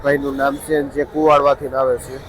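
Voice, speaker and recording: A man's voice: medium pitch, level tone, fast. A man with a Saurashtra Gujarati accent giving matter-of-fact, repetitive vlog commentary on market prices. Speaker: market vlogger reporting produce prices. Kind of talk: on-the-spot vlog commentary with brief questions to vendors. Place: busy outdoor vegetable market. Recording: outdoor market with crowd and vehicle noise. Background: crowd chatter and traffic.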